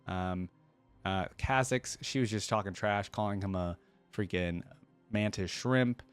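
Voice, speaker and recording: A man with a fairly low voice hosts a reaction video in a casual, chatty way. He rambles through his thoughts and often checks in with a short question. The sound is dry, with very little room in it. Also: measured pace, clear, resonant tone, dry recording, faint music behind.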